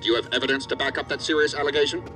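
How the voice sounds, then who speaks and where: A man's voice: medium pitch, fairly even intonation, very fast, bright, forceful tone, soft background music, natural voice. A man playing an angry, threatening assistant director in a science fiction audio drama, stern and blunt. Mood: stern, exasperated.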